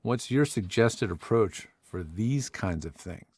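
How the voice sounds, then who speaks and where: A man co-hosting a voiceover podcast, reading out listener questions in relaxed chat. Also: fairly low pitch, takes it slowly, husky. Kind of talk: casual interview chat.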